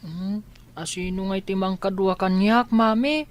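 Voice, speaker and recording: A woman's voice: mid-range voice, fairly even intonation, a little slow, close to an everyday voice. A woman voicing a young schoolboy, a dutiful son in a radio drama. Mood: complaining. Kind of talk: Ilocano radio drama acting.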